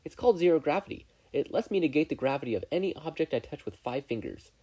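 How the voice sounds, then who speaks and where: Teenage schoolgirl, voiced by a narrator: bubbly, earnest, lively delivery, slightly husky tone. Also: medium pitch, quick pace, voice pushed up, lightly acted, rough recording. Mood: upbeat. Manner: upbeat, earnest, playful. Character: bright, bubbly, friendly teenage girl student. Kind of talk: fan fiction audiobook character voice.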